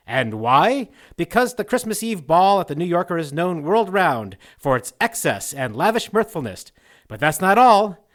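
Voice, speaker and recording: A man playing a gushing, breathless 1940s radio announcer reporting from a red carpet. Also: high voice.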